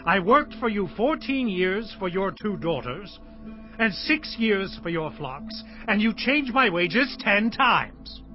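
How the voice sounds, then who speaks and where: A man's voice: high-pitched, sing-song, slow pace, full character voice. An actor playing an emphatic, hardworking herdsman patriarch in a dramatized audio Bible, declaiming with passion. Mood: indignant, wronged. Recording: dull lo-fi audio with music behind.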